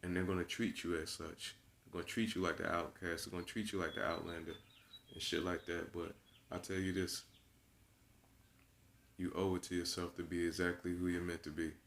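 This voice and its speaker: Low voiced guy in his twenties, cussing a lot, preachy rant to his viewers, keeps repeating himself. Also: steady tone, a little fast, a bit bright, a little hiss or hum. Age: 20s. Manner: blunt and profane, preachy, repeats himself for emphasis. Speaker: vlogger. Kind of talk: unscripted monologue to camera.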